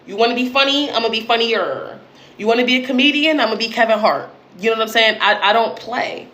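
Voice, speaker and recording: Blunt deep-voiced woman, roomy echo. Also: brisk, a bit bright. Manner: blunt, emphatic and profane, with repeated punchy phrases. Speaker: online creator and educator. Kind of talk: direct-to-camera monologue.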